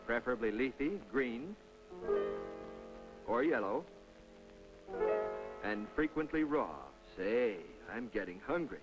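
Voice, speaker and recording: A man's voice: medium pitch, lively intonation, slow pace, pauses often, muffled recording, over loud music. A man narrating a scripted 1950s public information film in a folksy, instructive way, with conversational asides to the viewer. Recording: vintage 1950s film soundtrack.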